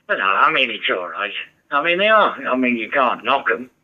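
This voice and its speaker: A man's voice: medium pitch, sing-song, a little fast. A man chatting over a ham radio, rambling and giving advice. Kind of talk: casual radio chat. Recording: dull muffled radio audio.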